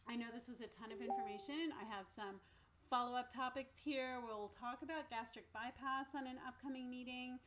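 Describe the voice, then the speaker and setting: A woman's voice: fairly high pitch, moderate pace, fairly bright tone. A woman hosting an online recovery meeting as a nutrition therapist, chatty and encouraging, with lots of "like" and "um". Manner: chatty, says "like" and "um" often, upbeat and encouraging. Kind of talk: casual informative talk. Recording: faint background noise.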